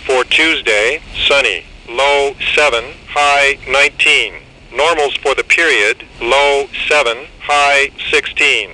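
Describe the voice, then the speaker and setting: An automated male weather radio announcer with a very bright, sharp voice. He reads the forecast in slow, clipped segments. The noisy radio reception hisses faintly behind him. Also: medium pitch.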